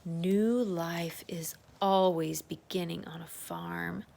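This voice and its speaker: A woman's voice: lowish voice, fairly even intonation, takes it slowly, creaky, dark tone. A woman reading a children's storybook aloud as a circle-time teacher, adding chatty asides, exclamations and questions for young listeners.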